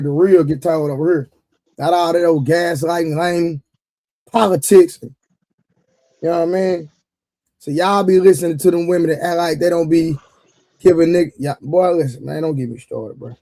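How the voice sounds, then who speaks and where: Hoarse, slangy man hosting a live stream. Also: a little high, fairly even intonation, normal speed, warm tone, no room sound. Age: adult with an eleven-year-old son. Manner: loose, slangy, teasing. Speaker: live panel stream host. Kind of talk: casual live-stream banter.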